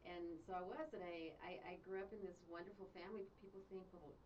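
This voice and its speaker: A woman from southern Colorado whose first language is Spanish tells family stories as an author and genealogist. She speaks haltingly and repeats her words. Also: fairly low pitch, fairly even intonation, a little mellow. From southern Colorado, USA. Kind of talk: conversational interview storytelling. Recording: distant, dull mic with faint hiss.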